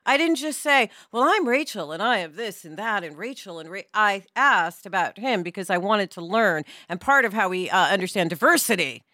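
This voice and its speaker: Female co-host, American. Very expressive pitch, chatty and digressive, close dry sound. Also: moderate pace, hardly any pauses, no room sound. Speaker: podcast co-host. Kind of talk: casual chat with some scripted intro.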